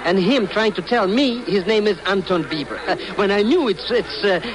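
An actor playing an excitable, boastful small-time informer, blustering and stammering in a comic 1950s radio drama style. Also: male voice, high-pitched, moderate pace, crowd noise, natural voice. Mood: flustered. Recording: noisy old 1950s radio recording.

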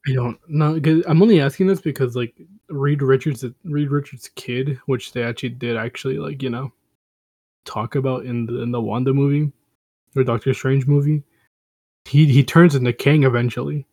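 A man's voice: mid-range voice, steady tone, normal speed, warm tone, no echo. A young man co-hosting a casual podcast, talking bluntly in slang and stumbling over restarts. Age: young adult. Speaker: podcast co-host. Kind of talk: casual chat among friends.

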